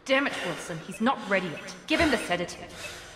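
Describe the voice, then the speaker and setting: An actress playing a stern scientist in charge, giving firm, clipped commands in a video game cutscene. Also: female voice, mid-range voice, animated, fairly quick, over music, natural voice. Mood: sharp, urgent.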